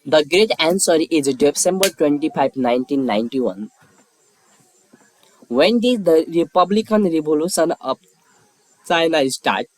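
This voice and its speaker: A man's voice: on the high side, lively intonation, unhurried, pauses often, low-quality recording. A man reading scripted quiz questions and lettered answer options as a narrator.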